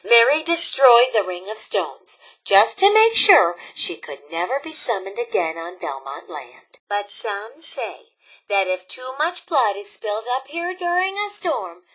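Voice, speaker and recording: A cheerful, motherly scout leader robot from a video game, with a folksy, theatrical storyteller's delivery. The voice is forceful and bright, with very lively pitch swings. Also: a little slow, slightly rough, full character voice, rough recording. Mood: spooky, suspenseful.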